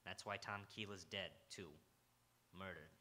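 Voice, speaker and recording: A man's voice: low-pitched, steady tone, a little slow, bright, forceful tone, exaggerated voice. A man playing a blunt, world-weary Broadway detective in an old-time radio crime drama, with terse, dramatic delivery on a live stage. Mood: grim. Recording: live stage recording with faint noise.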